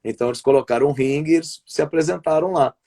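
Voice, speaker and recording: A man from Brazil telling long stories in a relaxed interview over a video call. His voice sits a little high for a man, and he wanders through his points with frequent restarts and little tag questions. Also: rapid-fire. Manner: rambling, restarts often, tags sentences with "né" and "sabe". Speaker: wrestling commentator and play-by-play announcer. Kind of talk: casual interview chat over a live stream.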